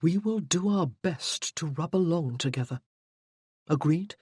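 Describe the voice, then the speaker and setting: Reader doing a teasing, self-assured gentleman in a romance audiobook; dry studio read. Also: medium pitch, animated, measured pace, husky, dry recording. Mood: earnest. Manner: playful, teasing, then earnest. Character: teasing confident gentleman suitor. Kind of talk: audiobook character voicing.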